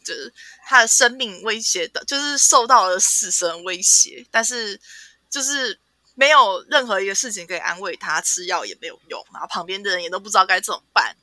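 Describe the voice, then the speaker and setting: A woman with a Taiwanese Mandarin accent hosting a podcast, casually retelling a story with animated, playful asides. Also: medium pitch, dry recording. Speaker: podcast host. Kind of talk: casual storytelling and book chat.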